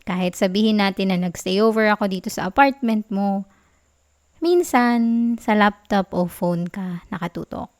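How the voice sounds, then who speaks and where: A young woman with a Filipino accent playing a sweet, clingy, gently sulking girlfriend in an intimate ASMR roleplay, soft and whiny in her affectionate pleading. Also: medium pitch, moderate pace, no room sound, clean studio sound, natural voice. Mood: sulky, pouting.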